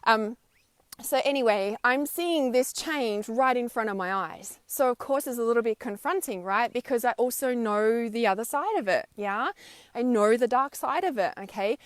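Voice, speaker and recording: A woman in her thirties talks in a casual, emphatic monologue outdoors, leaning on her points with little tags. Her voice sits a bit high and sounds smooth and clear. The sound is close and dry, with almost no room around it. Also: animated, normal speed, dry recording. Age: 30s. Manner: chatty, emphatic, frequent "right?" and "okay?" tags, laughs often. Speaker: spiritual vlogger. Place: outdoors, wind audible.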